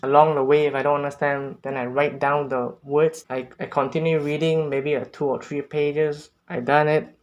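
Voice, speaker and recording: Earnest man sharing tips. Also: medium pitch. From Malaysia. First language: Cantonese. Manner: earnest, unpolished, with non-native phrasing and small restarts. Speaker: language-learning vlogger. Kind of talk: casual tips talk to camera.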